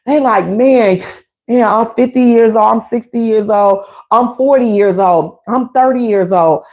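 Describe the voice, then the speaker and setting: A woman's voice: a little high, a little slow, a little mellow. A woman, hosting a casual relationship advice talk, emphatic and conversational, repeating her points.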